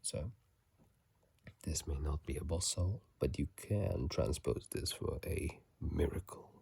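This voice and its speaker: A man doing whispered ASMR commentary on a video game, soft and breathy, musing in a halting, casual way, close to a phone mic. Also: very deep voice, no echo. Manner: halting, musing, unhurried delivery. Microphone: close phone microphone.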